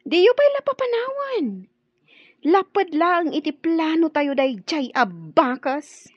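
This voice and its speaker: A woman's voice: extremely high pitch, animated, a little slow, dry recording, dull audio. A woman playing a sly, calculating young schemer in an Ilocano radio drama, speaking insistently as she conspires.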